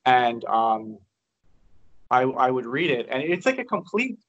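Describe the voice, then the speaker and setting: A man's voice: mid-range voice, big pitch swings, a little slow, a bit bright, highs cut off. Frank, chatty man on a video call. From Canada. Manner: frank and chatty, many restarts and "you know". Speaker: guest. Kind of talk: casual interview on a video call. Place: indoors by a large window.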